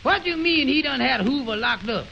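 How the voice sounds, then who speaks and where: A very high, heavily creaky voice plays a naive, puzzled fellow in a 1920s radio comedy. He is slow on the uptake and asks halting questions in folksy, ungrammatical speech. The old recording is dull and faintly hissy. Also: animated, full character voice. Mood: curious. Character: naive, slow-witted, questioning man, Amos.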